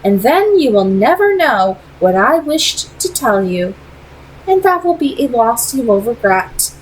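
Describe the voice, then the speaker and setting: A woman's voice: fairly high pitch, sing-song, slight background noise, voice pushed up, low-quality recording. A woman voicing a proud, secretive female vampire who leads a clan and speaks formally and persuasively in a read-aloud story. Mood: stern, secretive. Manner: formal, deliberate, dramatic phrasing.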